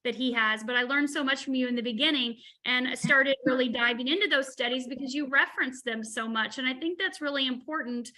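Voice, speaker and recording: A woman's voice: sits high, fairly quick, hardly any pauses, bright, forceful tone, low-quality recording. A woman in her forties explaining study results enthusiastically in an online presentation. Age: 40s. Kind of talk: presenting research in a webinar.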